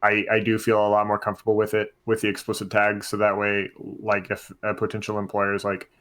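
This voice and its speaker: A man's voice: fairly low pitch, monotone, fairly quick, fairly bright tone. A man hosting a podcast, talking casually and often repeating himself as he works toward his point. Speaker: podcast host; teacher.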